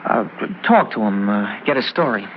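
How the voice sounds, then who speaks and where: A man's voice: fairly low pitch, lively intonation, normal speed, lightly acted. An actor playing a hesitant, stammering male public relations man in an old radio drama. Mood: uneasy. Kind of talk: 1960s radio drama acting. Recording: dull old radio broadcast, faint hiss.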